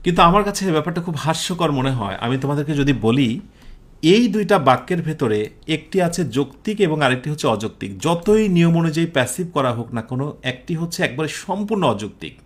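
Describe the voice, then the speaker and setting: A man's voice: fairly high pitch, sing-song, brisk. A man with a Bangladeshi accent teaching an English grammar lesson, explaining step by step and often checking with questions. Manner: didactic, often puts questions to students and checks understanding. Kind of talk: live exam-prep lesson, explaining step by step.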